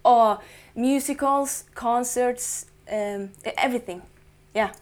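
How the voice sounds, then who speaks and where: A young Norwegian woman speaking English as a guest in a casual interview, her voice a little higher than most. She answers in friendly, short phrases, often pausing on an uh or um. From Norway. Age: 20s. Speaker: guest musician.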